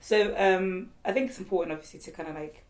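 A woman's voice: mid-range voice, fast. A woman from Zimbabwe musing on a podcast, thoughtful and hesitant, with many fillers and restarts. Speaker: podcast co-host. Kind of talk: casual group chat.

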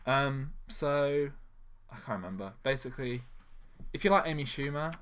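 A man's voice: mid-range voice, lively intonation, normal speed, fairly bright tone, a little hiss or hum. A man co-hosting a casual film podcast, rambling and emphatic as he complains. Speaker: podcast co-host. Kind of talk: casual film review chat.

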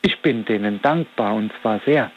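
A man's voice: fairly low pitch, moderate pace, highs cut off, a little hiss or hum. A man of about forty talking in a casual interview, reflective and rambling as he searches for his words. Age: 40s. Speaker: interview guest.

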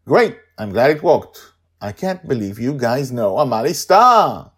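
A man voicing a brisk, practical woman in a storytelling podcast, reassuring and excitable, with an emphatic, eager manner. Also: mid-range voice, breathy edge, voice pushed up, heavily acted. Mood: delighted.

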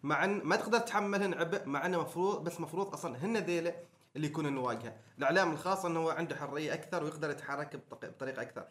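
Omani male podcast co-host, slightly high pitch, rapid-fire casual discussion. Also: a bit bright. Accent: Omani Arabic. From Oman. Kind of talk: casual chat and debate.

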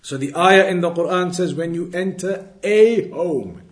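A man teaching an Islamic lesson, explaining in a deliberate way and repeating his points. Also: high voice. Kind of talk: religious lesson, reading Arabic then explaining.

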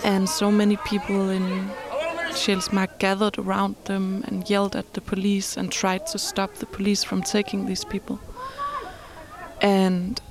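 A woman giving a thoughtful, reflective account, often pausing in mid-sentence. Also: medium pitch. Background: crowd and ambient noise.

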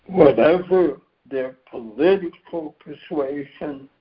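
A man losing his voice gives a reflective spiritual share on a group call, measured and clearing his throat now and then. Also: on the high side. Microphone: phone on a conference call. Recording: dull lo-fi conference call line.

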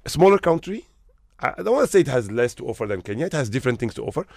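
A man's voice: mid-range voice, sing-song, very fast, gravelly, darkish tone, dry recording, studio quality. A man from Morocco who manages hotels, talking as an interview guest with emphatic rhetorical questions.

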